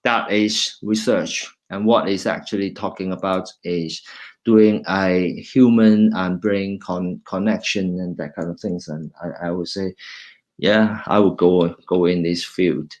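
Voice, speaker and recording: Low-voiced Hong Kong man, halting. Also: steady tone, a little mellow. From China, raised in Hong Kong. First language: Cantonese. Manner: halting, with many restarts, switching between English and Cantonese. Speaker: university lecturer, guest speaker. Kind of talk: informal talk presenting slides.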